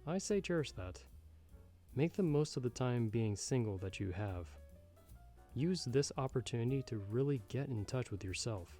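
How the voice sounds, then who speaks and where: Mellow, wry bartender played by a man. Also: mid-range voice, animated, lightly acted. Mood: earnest, measured. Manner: measured, wry, slightly formal and old-fashioned phrasing. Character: jaded, sardonic male bartender giving frank, fatherly advice. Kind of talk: ASMR roleplay, scripted monologue to the listener. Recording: background music under the voice. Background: music.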